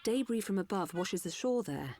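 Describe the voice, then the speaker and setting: An actress playing a calm, precise female Machina doctor explaining a treatment. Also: mid-range voice, moderate pace, close to an everyday voice. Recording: game cutscene with music.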